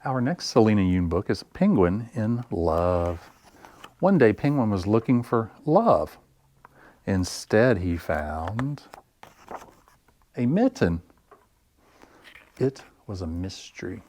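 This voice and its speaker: A man hosting a library story time, reading picture books to young children in a deliberate, expressive way with pauses for effect. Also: lowish voice. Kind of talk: picture-book read-aloud for young children.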